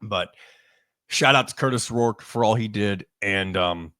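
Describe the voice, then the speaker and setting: A man's voice: lowish voice, measured pace, pushed and bright, no room sound, studio quality. A man hosting a sports podcast, talking casually with frequent ums and restarts.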